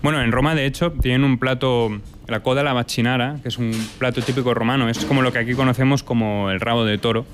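A man from Italy and Spain who runs a restaurant, answering interview questions in a lively way and dropping in Italian food terms. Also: medium pitch, quick pace, music in the background. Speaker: restaurant owner.

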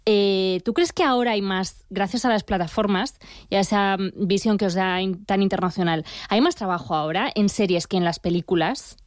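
A woman of middle pitch asks an interviewee questions in a relaxed way, often pausing with "eh" between phrases. Her voice is close to the mic and dry, with no room sound. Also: no echo. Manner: hesitant, fills pauses with "eh".

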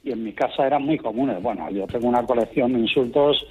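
A man calling in to a radio talk show, telling his stories in a calm, unhurried way. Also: medium pitch, breathy edge, soft background music. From Extremadura, raised in Madrid. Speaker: caller. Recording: muffled phone line.

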